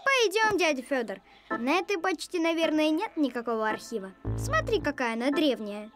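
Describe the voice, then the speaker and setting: A woman's voice: high voice, sing-song, normal speed, over music. A woman voicing a curious, bubbly young girl in a cartoon, eager and inquisitive. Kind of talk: animated cartoon voice acting.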